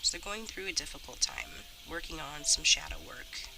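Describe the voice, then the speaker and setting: A woman with a stuffy, slightly rough voice from a cold, chatting casually as she gives a tarot reading. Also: sits low, animated, normal speed, heavy background noise. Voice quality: a little rough. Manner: chatty, many fillers and restarts. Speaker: tarot reader.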